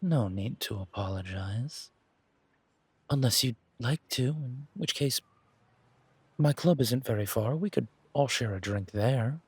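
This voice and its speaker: Man playing a purring, breathy, flamboyant flirt. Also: mid-range voice, lively intonation, dry recording. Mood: teasing, seductive. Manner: purring, theatrical, teasing, drawn-out words. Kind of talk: roleplay audio drama.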